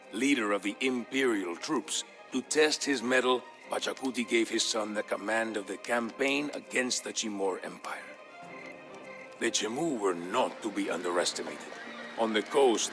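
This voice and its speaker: An actor playing a male narrator who recounts an old legend in a measured, scripted storybook manner for a video game. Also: on the low side, close to an everyday voice.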